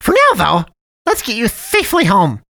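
A jolly, chubby storybook cat man, purring in a folksy drawl. His voice leaps high and loud and swoops all over as he coaxes and charms. The recording is dry and close. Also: huge pitch swings, moderate pace, vocal fry, dry recording, exaggerated voice. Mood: friendly, jolly. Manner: sweetly cajoling, theatrical.

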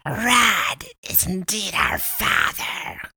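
Malevolent female spirit, sharp and rasping, sneering, slow, hissing, storybook fantasy. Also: medium pitch, huge pitch swings, no room sound, studio quality, voice pushed up, full character voice. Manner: sneering, drawn-out, breathy hissing.

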